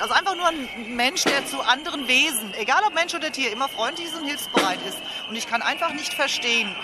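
A woman's voice: fairly high pitch, heavy background noise. A woman speaking emphatically and indignantly as a passer-by in a street interview. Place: outdoors at a street demonstration. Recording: music behind, outdoor street interview.

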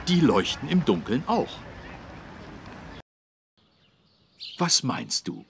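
A man's voice: medium pitch, sing-song, measured pace, gravelly, fairly bright tone, exaggerated voice. An actor playing a friendly policeman who patiently explains things to children in a kids' game. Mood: patient, inviting. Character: friendly adult male policeman explaining road safety. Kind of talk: scripted children's game dialogue. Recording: street traffic noise behind. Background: city street traffic.

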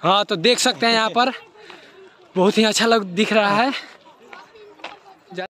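A young man filming a travel vlog on his phone at arm's length, talking excitedly as he crosses a river and walks to a waterfall. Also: high-pitched, a little slow, some chatter behind. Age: young adult. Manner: excited, calling out and cheering. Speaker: travel vlogger. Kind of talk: casual travel vlog narration. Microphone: phone selfie at arm's length. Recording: noisy outdoor phone recording.

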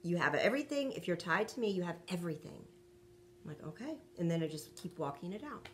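A woman's voice: on the low side, big pitch swings, fast, fairly bright tone, slight background noise. A middle-aged woman co-hosting a casual devotional talk and reading scripture in a conversational, affirming manner. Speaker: co-host and scripture reader. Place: furnished room at home.

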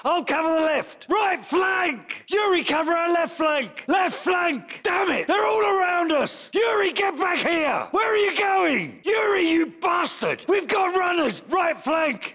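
A gruff British special forces captain in a combat game. His voice is forceful and sharp with a gravelly edge and lively swings in pitch. He gives clipped, commanding orders. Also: measured pace, few pauses, full character voice. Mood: urgent, shouting. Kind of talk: video game battle chatter.

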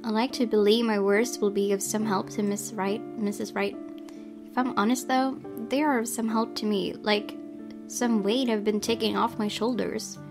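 A woman's voice: mid-range voice, fairly even intonation, moderate pace. A woman reading a visual novel's narration aloud as a let's play host. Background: guitar music from the game.

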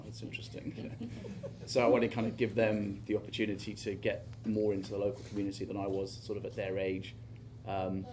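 A man who grew up in Hong Kong, speaking as a parent on a panel in a lecture hall, measured and hesitant. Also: lowish voice, a little mellow, slight background noise. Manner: measured, hesitant with many ums. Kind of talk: panel discussion. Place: lecture hall stage before an audience.

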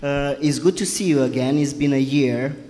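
A man's voice: medium pitch, normal speed, mellow, a little hiss or hum. A man moderating a panel discussion, asking questions politely and hesitantly with many fillers. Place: museum exhibition hall. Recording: hall reverb, faint ambience.

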